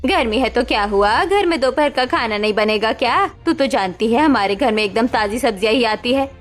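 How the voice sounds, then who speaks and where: Woman doing a strict older mother-in-law, commanding, scolding, fairly high voice, faint music behind. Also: expressive, brisk, close to an everyday voice. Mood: stern.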